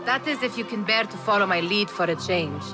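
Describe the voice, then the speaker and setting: Lady acting a young thief companion in a game, dry and chiding, mid-pitched, music under it. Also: animated, a little fast, close to an everyday voice. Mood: exasperated, chiding. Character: sharp-tongued, skeptical young female street thief, loyal companion. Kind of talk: dramatic video game voice acting.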